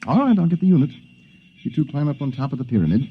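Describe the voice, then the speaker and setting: An actor playing a practical, determined inventor who leads a stranded group, matter-of-fact and commanding, in 1950s radio drama style, on a dull lo-fi 1950s radio recording. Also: male voice, on the high side, very animated, racing, heavy background noise.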